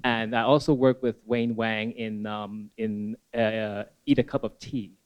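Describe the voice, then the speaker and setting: A man's voice: lowish voice, level tone, a little slow, lo-fi sound, a little hiss or hum. A man who grew up in Hong Kong, an audience member asking a long, digressive question full of "you know". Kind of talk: Q&A question from the floor.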